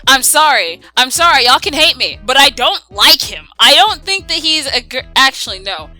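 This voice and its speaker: A woman chatting casually as she answers viewer questions, animated and slangy, given to exclaiming. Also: high-pitched, normal speed, soft background music, low-quality recording. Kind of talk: casual chat Q&A.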